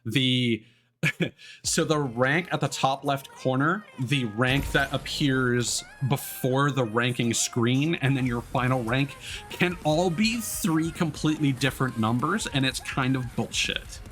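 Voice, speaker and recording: A man's voice: medium pitch, slow pace, a bit bright, faint music behind. A man streaming a game with casual, informal, sweary live commentary. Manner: informal, sweary, self-critical. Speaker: streamer, VTuber.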